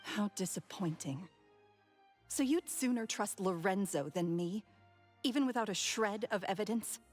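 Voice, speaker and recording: An arch, poised villainess, medium pitch. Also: female voice, animated, a little slow, soft background music. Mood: cool, disappointed. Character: poised villainous lady, smug and falsely innocent. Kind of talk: video game character acting.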